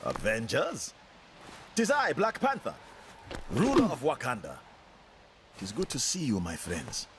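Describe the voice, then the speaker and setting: A man's voice: medium pitch, huge pitch swings, a little slow, long pauses, noisy background. A man playing a dignified warrior king superhero in a video game, formal and courteous. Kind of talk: animated video game acting.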